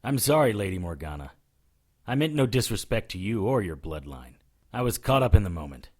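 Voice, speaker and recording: Lowish, lively wry male investigator. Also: brisk. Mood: restrained. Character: wry, defiant male supernatural investigator narrating his case. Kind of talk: first-person detective audio drama.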